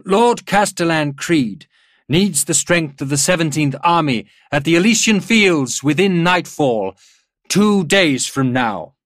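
Man acting a proud, booming army general. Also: expressive, measured pace, fairly bright tone, no echo, studio quality. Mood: declamatory, rousing. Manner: declamatory, projected parade-ground oratory. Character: proud older male army general rallying his officers. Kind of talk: dramatised audiobook character voice.

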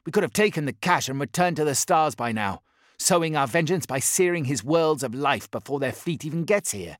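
A man's voice: a little high, animated, brisk, dry recording, clean studio sound, pitched above the performer's own voice, natural voice. A man voicing an impatient, scornful male warrior in an audiobook, arguing in a raised, urgent voice. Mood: heated.

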